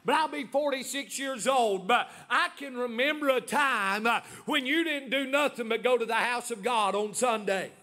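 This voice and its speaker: A man's voice: very high voice, normal speed, few pauses, sharp tone, soft background music. A man in his forties preaching a sermon as pastor, with many "uh" fillers and emphatic repetition. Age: 40s.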